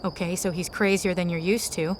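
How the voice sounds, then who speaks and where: A woman's voice: on the low side, fairly even intonation, fairly quick, slight background noise, natural voice. A woman playing a hardened, dismissive survivor scout in an audio drama, with a dry delivery.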